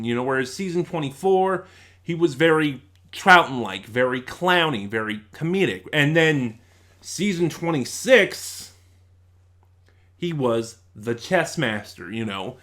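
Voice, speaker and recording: A man's voice: a little high, fairly bright tone. A man reviewing in a casual, unscripted way, often rephrasing and searching for words. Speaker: YouTube reviewer.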